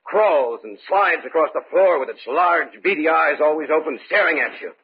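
Uneasy, earnest scientist, animated old-radio delivery. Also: male voice, a little high, moderate pace. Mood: earnest, urgent. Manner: earnest, urgent pleading. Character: Emery, uneasy male research scientist keeping a diary. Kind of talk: old-time radio horror acting. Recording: muffled noisy vintage radio recording.

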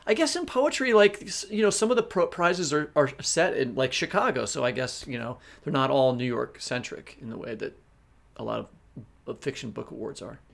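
An American man from the Midwest co-hosting a podcast, asking discursive, halting questions that often interrupt themselves. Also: mid-range voice, lively intonation, creaky. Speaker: podcast co-host.

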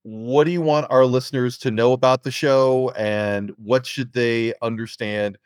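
A man's voice: mid-range voice, fairly even intonation, a little slow, clean tone, no room sound, studio quality. A man hosting a casual podcast interview, affirming his guest with enthusiasm as he asks questions.

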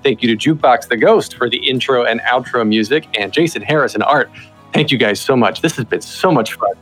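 A man's voice: mid-range voice, a little fast, a bit bright, soft background music, rough recording. A man with a mid-range voice works as a podcast host. He speaks conversationally, guiding guests through questions and handing the floor from one to the next. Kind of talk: conversational interview hosting.

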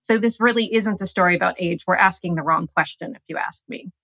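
A woman speaking as an expert guest on a radio interview, explaining ideas in an articulate, measured way. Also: on the low side, fairly bright tone, dry recording. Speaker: gerontologist guest.

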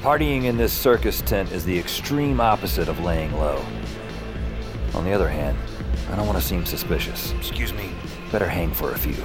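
An actor playing a wry, tipsy, hard-boiled antihero who narrates an audio drama with sardonic, muttered asides. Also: male voice, low voice, expressive, moderate pace. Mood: sardonic, uneasy. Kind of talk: first-person noir narration and dialogue, audio fiction. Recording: music behind the voice.

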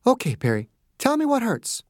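A narrator voicing a confident, no-nonsense woman doctor, with a much higher voice than the narration. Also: wildly swooping pitch, dry recording, clean studio sound. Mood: firm. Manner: brisk, firm.

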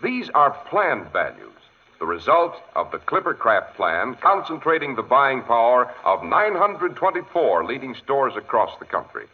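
A man's voice: mid-range voice, very animated, unhurried. A man working as a radio announcer in a polished, theatrical 1940s style. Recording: muffled vintage 1940s radio recording.

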